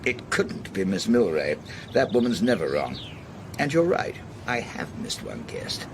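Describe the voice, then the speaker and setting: An actor playing a genial, theatrical English gentleman with a breezy sailor's heartiness in a radio drama. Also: on the low side, sing-song, a little slow. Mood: chatty. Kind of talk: British radio drama acting. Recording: noisy radio play recording.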